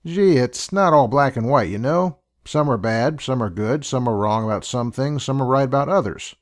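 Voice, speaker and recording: A man's voice: mid-range voice, expressive, moderate pace. Dry, dismissive male host. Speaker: host answering questions. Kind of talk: sarcastic commentary.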